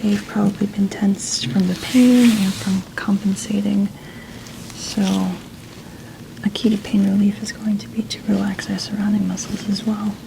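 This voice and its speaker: A woman whispering softly and slowly in a soothing ASMR medical roleplay, as a chiropractor tending a client. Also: medium pitch, little pitch movement, gravelly, background noise. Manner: soft, slow, soothing whispering. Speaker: ASMR performer playing a chiropractor.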